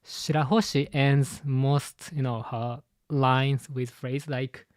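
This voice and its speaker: A man with a Japanese accent, a native Japanese speaker, hosting a live-stream commentary and talking haltingly with many fillers and restarts. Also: mid-range voice, steady tone, no echo, studio quality. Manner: halting, full of "you know" fillers and restarts. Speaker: channel host and translator.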